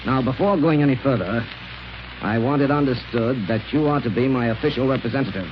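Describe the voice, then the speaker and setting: An actor playing a scheming male space villain posing as a heroic captain, commanding and measured in 1930s radio serial style. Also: mid-range voice, natural voice. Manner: commanding, measured, smoothly deceptive. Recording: noisy, dull 1930s radio recording.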